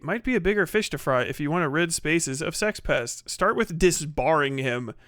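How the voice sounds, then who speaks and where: A man's voice: a little high, a bit bright, dry recording. A man hosting a livestream, ranting casually with sarcasm and profanity, laughing at his own jokes into a close mic. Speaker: livestream host.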